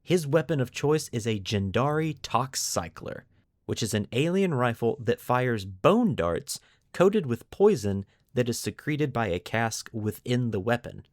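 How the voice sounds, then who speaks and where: A man's voice: medium pitch, lively intonation, a little slow, no room sound. Casual man explaining lore, dry close recording. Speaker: podcast host.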